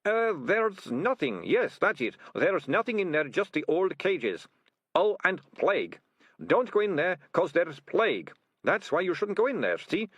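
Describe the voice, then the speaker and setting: A man's voice: very animated, a little slow, a bit bright, husky, dry recording, exaggerated voice. A man voicing a guilty, whining rat-catcher in a storybook, moaning and stammering his excuses in colloquial speech. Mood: nervous, evasive. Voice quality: high-pitched moaning voice. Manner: stammering, colloquial, self-justifying.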